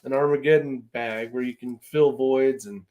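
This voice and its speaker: A man's voice: medium pitch, fairly even intonation, normal speed, phone-quality sound. A man hosting a casual live-stream chat, talking in an informal, loose and rambling way. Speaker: host of a shooting live chat show.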